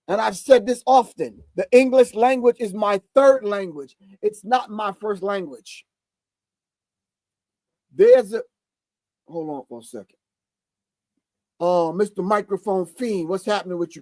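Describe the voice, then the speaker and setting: A man's voice: high voice, unhurried, pauses often, a little mellow, no echo. A man in his sixties hosting a show, reacting to a video with emphatic, preachy commentary and repeated key phrases. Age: 60s. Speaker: host.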